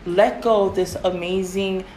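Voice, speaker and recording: A woman hosting a casual faith podcast, with a raspy voice and a rambling manner full of fillers. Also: mid-range voice, a little slow, soft background music, rough recording. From Pacific Islands. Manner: rambling, many fillers, animated when excited. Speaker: podcast host.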